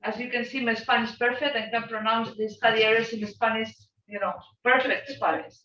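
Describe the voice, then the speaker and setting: High bright woman hosting, roomy and distant. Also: steady tone, fairly quick, a little hiss or hum. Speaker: host of an online student exchange session. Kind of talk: informal spoken introductions on a group call. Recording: roomy, distant, dull video-call audio.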